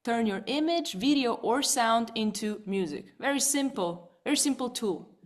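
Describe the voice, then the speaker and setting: A woman who is a vocal coach, presenting a live online webinar in a lively way. She repeats words and keeps checking in with listeners. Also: fairly high pitch, moderate pace.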